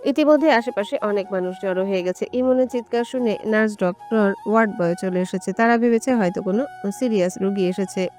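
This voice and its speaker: A woman's voice: medium pitch, a little fast, darkish tone, soft background music. A woman narrating a romantic audio story from a script.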